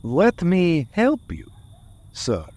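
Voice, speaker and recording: A man's voice: high voice, wildly swooping pitch, drawn-out words, darkish tone, full character voice. A man playing a sinister, courteous coachman in a radio drama, speaking in a theatrical way. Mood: eerily courteous. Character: sinister, courteous mysterious coachman greeting travellers. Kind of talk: 1930s radio drama acting.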